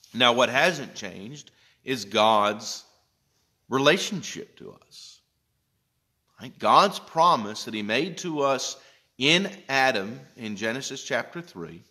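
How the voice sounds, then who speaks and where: A man in his thirties, a pastor giving a gentle children's Bible lesson in a church, speaking slowly and checking in with questions, recorded on a close-held phone. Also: mid-range voice. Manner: slow, gentle, explaining step by step with checking questions. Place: church sanctuary, indoors. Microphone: phone camera held close.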